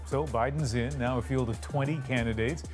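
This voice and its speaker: A man anchoring a nightly newscast in a brisk, authoritative tone. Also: mid-range voice, over music. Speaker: news anchor. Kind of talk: news anchoring.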